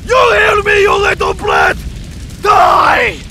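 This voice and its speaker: A man voicing a furious, vengeful horned ex-boyfriend monster in a game mod, seething and menacing in a gravelly, strained, halting voice. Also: very high voice, wildly swooping pitch, pushed and bright, raised voice, slight background noise, heavily acted. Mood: murderous rage. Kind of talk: video game mod voice acting.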